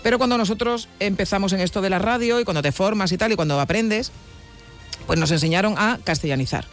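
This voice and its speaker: An older woman with an Andalusian accent, hosting a live radio talk show in a quick, chatty manner with frequent fillers. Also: mid-range voice, faint music behind. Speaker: radio host.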